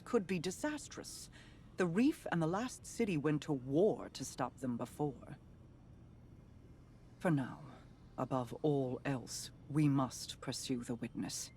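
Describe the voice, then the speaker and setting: A regal warrior queen carries heavy burdens in a formal, measured delivery. She sounds stern and commanding, a video game sovereign used to being obeyed, with music underneath. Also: low voice, darkish tone. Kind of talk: video game character acting.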